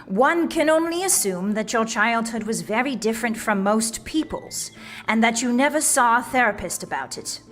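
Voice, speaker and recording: A woman's voice: fairly high pitch, lively intonation, normal speed, fairly bright tone, faint music behind. A woman narrating a comic visual novel in a wry, arch, mock-grand storyteller's manner.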